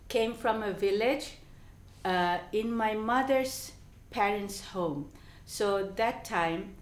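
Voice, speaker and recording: A woman from Bangladesh with a Bangladeshi accent, telling her life story in an oral history interview, halting often with ums and restarts. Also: mid-range voice, reverberant.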